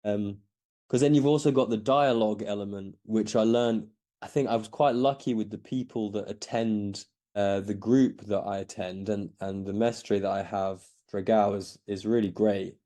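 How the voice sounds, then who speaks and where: A man's voice: fairly low pitch, fairly even intonation, moderate pace, mellow. A man in his thirties who grew up in Belgium, answering interview questions in a casual, hesitant way with many restarts. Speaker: podcast guest.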